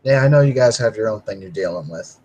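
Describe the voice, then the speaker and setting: A man's voice: lowish voice, lively intonation, quick pace, a little mellow, husky, highs cut off. A man voicing a laid-back young male werewolf who speaks in an easygoing, casual way.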